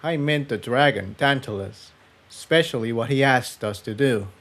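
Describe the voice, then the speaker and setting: A man voicing an earnest, troubled male pony adventurer in a quiet, serious way for a storybook reading. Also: mid-range voice, moderate pace, close to an everyday voice.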